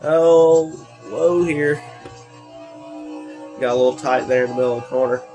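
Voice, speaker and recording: A man's voice: mid-range voice, moderate pace, music in the background. A teenage man, congested from allergies, rambling with many fillers while commenting on a racing game. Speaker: gaming video creator.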